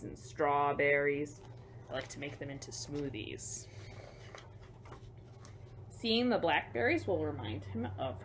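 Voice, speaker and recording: A woman reading a picture book to children as a storyteller, expressive, with chatty, simple asides to young listeners. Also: low voice, slight background noise.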